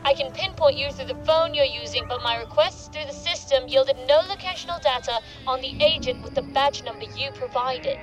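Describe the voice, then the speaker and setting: Audio drama: actress as officious dispatcher, high voice, formal and firm, music bed. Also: female voice, moderate pace, few pauses, natural voice. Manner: formal, procedural. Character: by-the-book, unyielding female agency operator.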